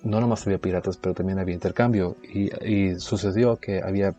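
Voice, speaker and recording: A man's voice: sits low, fairly even intonation, fast, warm tone, lo-fi sound. A man giving an informal history lesson, speaking hesitantly with frequent restarts and self-corrections. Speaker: presenter of an educational video series. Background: faint background music.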